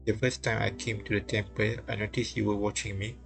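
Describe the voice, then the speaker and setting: A man reading visual novel dialogue and narration aloud on a live stream, halting and repeating lines as he goes. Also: fairly low pitch, level tone, gravelly. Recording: music playing behind the voice. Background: game music.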